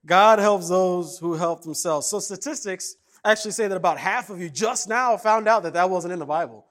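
A man's voice: high voice, moderate pace. A man from Kenya preaching a casual, jokey sermon and telling stories. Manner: conversational, jokey, animated. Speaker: pastor.

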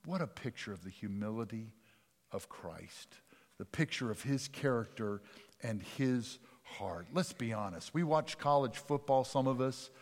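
A male pastor delivers a sermon with a conversational touch, letting his voice fall from strong to hushed for emphasis. His pitch sits in a middling range. The long reverb of a church sanctuary trails after his words. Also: expressive, measured pace. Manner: conversational preaching that swings from loud to hushed.

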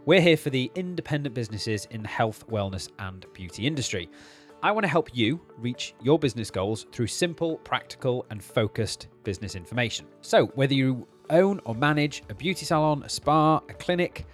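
A man hosting a business podcast, a little hoarse from a cold, talking in an upbeat, encouraging and practical way. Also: mid-range voice, expressive, moderate pace, soft background music. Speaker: podcast host. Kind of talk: solo business podcast talk.

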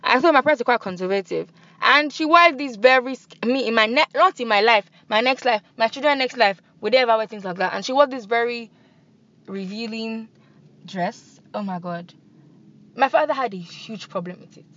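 A young woman with a Nigerian accent hosting a podcast in casual, animated chat. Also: on the high side, moderate pace, no room sound, dull audio. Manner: chatty, animated, laughs and switches into pidgin. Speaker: podcast host.

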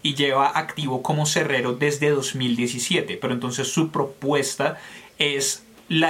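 A man's voice: a little high, fairly bright tone. A man from Colombia co-hosting a casual music review, candid and opinionated, thinking aloud with fillers.

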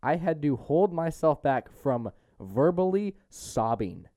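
A man's voice: a little high, sing-song, slow, dark tone, no echo, studio quality. A young man hosting a casual movie recap chat, a bit bossy and exasperated with his co-hosts. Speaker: podcast host.

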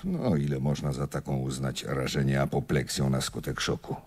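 A man acting a shrewd, self-assured older police inspector in a Polish radio drama, gravelly and theatrically knowing. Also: level tone, normal speed, a little mellow. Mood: triumphant explaining. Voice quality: gravelly, very deep. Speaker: actor in a radio play.

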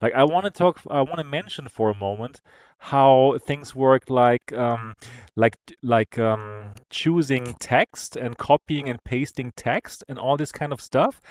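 A man's voice: mid-range voice, a little slow, dry recording. German-accented man, laughing co-host. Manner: laughs often, many fillers and short agreements. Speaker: podcast co-host. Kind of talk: casual tech chat on a live podcast. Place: his apartment. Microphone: headset mic in a VR device.

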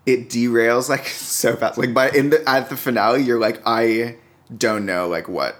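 A man as a podcast guest talking casually about television, halting as he goes and often checking in with "right?". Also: mid-range voice, steady tone, fairly bright tone. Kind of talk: casual TV review chat.

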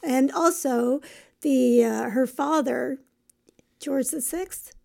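Casting: female podcast co-host, high register, gravelly fry, strained by a head cold, conversational. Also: takes it slowly, a little mellow. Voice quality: croaky, strained voice. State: has a cold. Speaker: podcast co-host, company co-founder. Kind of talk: casual podcast chat.

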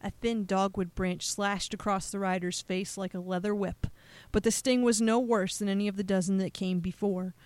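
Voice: mid-range voice, flat delivery, normal speed, few pauses, no echo. Radio host narrating patriotic history.

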